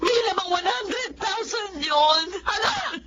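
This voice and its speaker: A woman's voice: very high voice, expressive, takes it slowly, pushed and bright, a little hiss or hum, full character voice. A woman with a Filipino accent playing an apologetic, anguished young wife in a radio drama. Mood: tearful, distressed.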